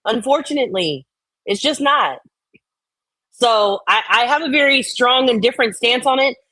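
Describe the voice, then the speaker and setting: A woman's voice: fairly high pitch, lively intonation, normal speed, bright, forceful tone, no echo. A woman co-hosting a casual chat, reading out and reacting to comments in a blunt, opinionated way with some swearing.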